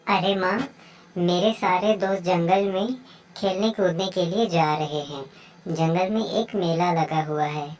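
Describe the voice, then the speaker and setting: A woman's voice: on the low side, unhurried, a little mellow, close to an everyday voice. A woman voicing an eager, playful young bird child in a children's moral story cartoon. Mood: pleading.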